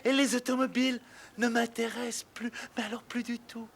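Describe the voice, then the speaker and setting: A man voicing a vain, boastful, excitable toad gentleman in a cartoon dub, theatrical and exclamatory. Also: very high voice, normal speed, gravelly, heavily acted. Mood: earnest. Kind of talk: French cartoon dub acting.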